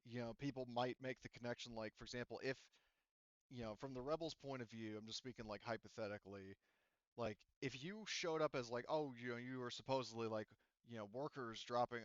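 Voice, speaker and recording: A man's voice: medium pitch, clear, resonant tone, dry recording. A man acting as game master, talking casually through tabletop game options in rambling, hedging explanations, over a slightly dull voice-chat connection. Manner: rambling, hedging explanations full of "like" and "you know".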